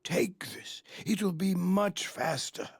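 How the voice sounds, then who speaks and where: Husky, creaky, remorseful stranger. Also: male voice, sits high, lively intonation, slow, no echo, full character voice. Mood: earnest, helpful. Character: remorseful mysterious man offering help.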